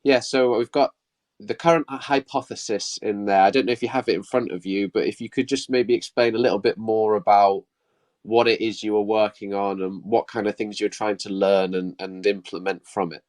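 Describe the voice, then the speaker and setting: A man's voice: fairly low pitch, fairly even intonation, moderate pace, a little mellow. A man with a cold and a blocked-up nose, hosting a live Q&A in a loose, apologetic way with many fillers. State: congested with a cold. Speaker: host.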